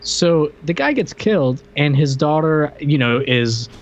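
A man co-hosting a podcast, chatting about a film in an informal, joking and halting way. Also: a little high, lively intonation, muffled recording. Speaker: podcast co-host. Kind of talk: casual movie review chat. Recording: noticeable background noise.

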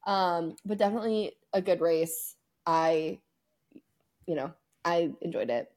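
A woman co-hosting a podcast, chatting casually about sports in an informal, slangy, excitable way. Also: on the low side, unhurried. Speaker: podcast co-host.

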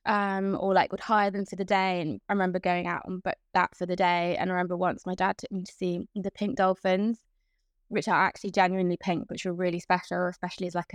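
Young woman, even and level delivery, dry close sound; chatty interview guest. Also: medium pitch, smooth, no room sound. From Hong Kong. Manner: chatty, with frequent fillers and restarts. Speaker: guest, events professional. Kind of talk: casual interview.

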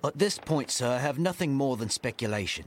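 An actor playing a dutiful, cautious first mate in a nautical audio drama, speaking formally and respectfully. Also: male voice, medium pitch, lively intonation, a little fast, slight background noise, close to an everyday voice. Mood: respectful, concerned.

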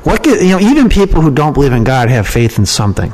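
A man's voice: on the high side, sing-song, fast, a little mellow, low-quality recording. A man answering interview questions as a guest, casual and plain-spoken, often repeating words for emphasis. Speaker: podcast guest, email marketer and copywriting trainer.

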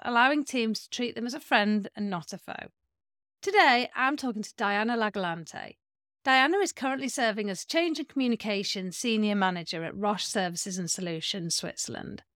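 A woman's voice: medium pitch, a bit bright, no echo, studio quality. A woman hosting a podcast. She reads a scripted introduction and asks questions in an upbeat way. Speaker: podcast host.

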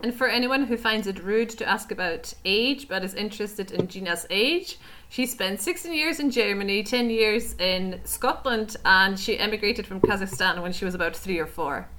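A woman's voice: medium pitch, normal speed, few pauses, pushed and bright, rough recording. A woman hosting a podcast, asking probing questions and sometimes searching for the right words. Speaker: podcast host. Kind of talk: casual interview chat.

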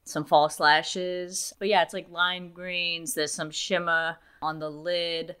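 Young woman guest, notably low voice, chatty storyteller who laughs often. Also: little pitch movement, slow pace, bright, forceful tone, clear, resonant tone. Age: 20s. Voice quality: deep voice. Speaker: guest, makeup artist. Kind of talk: casual interview chat.

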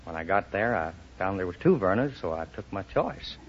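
A man's voice: low voice, expressive, brisk, husky. A man politely answering and telling a simple anecdote as a radio quiz contestant. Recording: dull, slightly noisy old radio recording.

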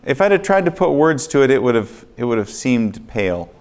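A man preaching in a church, earnest and swinging between quiet passages and emphatic ones. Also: medium pitch, sing-song, brisk, smooth. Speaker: pastor. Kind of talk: sermon. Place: church sanctuary.

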